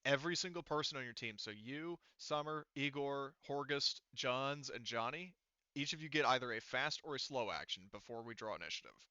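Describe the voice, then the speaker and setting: Male game master, bright and clear, informal and sweary, dry but slightly lo-fi voice-chat sound. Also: mid-range voice, expressive, normal speed, no echo. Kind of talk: running a tabletop roleplaying game, casual explaining.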